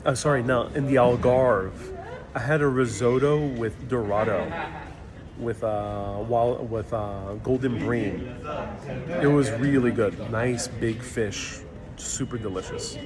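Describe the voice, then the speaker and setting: A relaxed man hosts a live travel Q&A from a city street, answering viewers' questions with a joking, unhurried manner. His voice sits at a middle pitch and is picked up by a phone. A steady crowd murmur surrounds him. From New York City. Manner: easygoing, joking, thinks aloud with fillers. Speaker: travel livestream host. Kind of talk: casual live Q&A, reading viewer comments aloud. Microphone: phone livestream mic. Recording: crowd noise around a phone stream. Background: crowd chatter.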